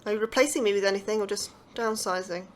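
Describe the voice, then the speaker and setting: An actress playing an earnest, anxious astrobiology lecturer with a proud streak, in an audio drama. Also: female voice, medium pitch, fast, natural voice. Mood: indignant, hurt. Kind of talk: scripted audio drama dialogue.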